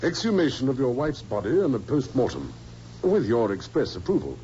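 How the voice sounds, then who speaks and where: Man playing provincial police superintendent, lively intonation, brisk, dutiful, old radio drama, dull noisy recording. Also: medium pitch, lightly acted. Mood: firm.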